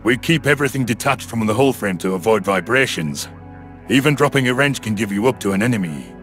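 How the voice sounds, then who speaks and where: A man voicing a calm, stern submarine commander with a measured, authoritative manner in an audio story. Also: fairly low pitch, faint music behind, voice pushed down. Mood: instructive. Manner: measured, authoritative, proud explaining.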